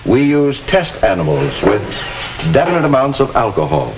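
A man's voice: medium pitch, huge pitch swings, normal speed, rough recording. An American man giving formal, instructive narration with precise diction in the style of a 1950s educational film. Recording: hissy 1950s film soundtrack.

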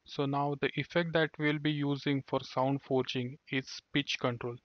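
A man giving a screen-recorded software tutorial, explaining steps one by one and sometimes restarting words. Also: mid-range voice, flat delivery, moderate pace, no room sound. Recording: dull dry lo-fi voice-over.

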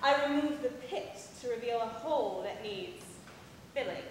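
A woman's voice: sits high, lively intonation, brisk. A woman playing a proud, obliging, suggestive innkeeper in a live stage farce, savouring the words theatrically. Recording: echoey hall, distant, audience noise.

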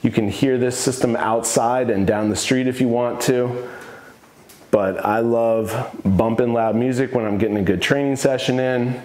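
A man's voice: mid-range voice, steady tone, normal speed, darkish tone. Male, 30s, fitness coach, mid-range voice, casual and enthusiastic, echoey gym room. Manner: enthusiastic, casual, opinionated about gear. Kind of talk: casual home gym tour to camera. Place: home gym in a basement room.

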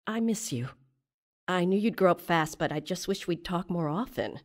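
An actress playing a gentle, worried middle-aged widowed mother in a radio drama. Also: fairly low pitch, expressive, moderate pace. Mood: gently pleading.

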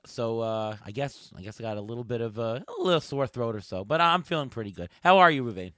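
A man hosts a sports podcast in a casual, conversational way. His voice sits at a middle pitch and is bright and forceful, with a slight hoarseness. The sound is dry and close. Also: expressive, fast, no room sound. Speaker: podcast host. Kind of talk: casual sports talk with a co-host and guest.

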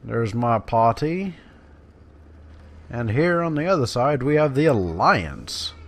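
A man's voice: mid-range voice, sing-song, takes it slowly. A man giving casual let's play commentary in a laid-back, musing way. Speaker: gaming let's play host.

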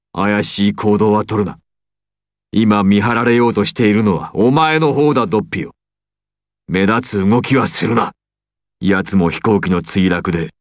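An actor playing an imperious, secretive mafia boss villain in an anime, speaking with commanding theatrical deliberation. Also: male voice, fairly low pitch, dry recording, clean studio sound, lightly acted. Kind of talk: anime and video game voice acting.

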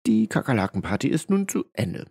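Gravelly, very animated male announcer. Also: on the low side, brisk. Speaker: channel announcer. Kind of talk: scripted outro narration.

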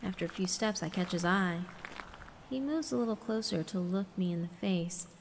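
A woman giving a dramatic first-person narration of a visual novel, theatrical and hushed, with deliberate pauses. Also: mid-range voice, a little hiss or hum. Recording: long reverb, music underneath. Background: background music.

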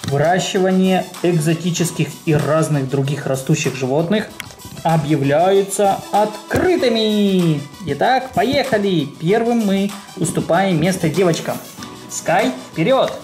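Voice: mid-range voice, expressive, unhurried, darkish tone, reverberant, soft background music. An adult co-host presenting a children's toy unboxing video and narrating each step.

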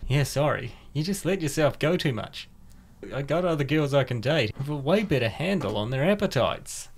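Man acting blunt, animated young boyfriend. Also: mid-range voice, normal speed, a little hiss or hum, lightly acted. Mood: dismissive. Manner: blunt, dismissive. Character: cold, disapproving young boyfriend. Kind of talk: amateur comic dub acting.

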